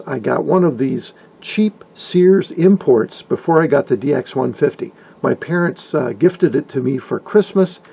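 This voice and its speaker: An older man narrating informally from a script, with a husky, gravelly voice and wry, folksy asides. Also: mid-range voice, a little mellow. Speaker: hobbyist video narrator. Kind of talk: informal scripted narration about vintage radios.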